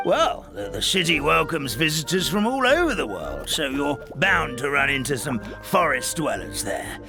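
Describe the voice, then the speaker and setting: A man voicing an elderly, fussy origami forest animal in a game, expressive and prone to chuckling and scolding. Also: a little high, normal speed, gravelly. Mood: helpful. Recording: music under the voice.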